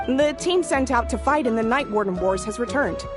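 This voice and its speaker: A woman's voice: a little high, lively intonation, a little fast, over music, close to an everyday voice. A woman playing an earnest, respectful young tribe messenger in a video game. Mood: earnest, reassuring. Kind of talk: video game character acting.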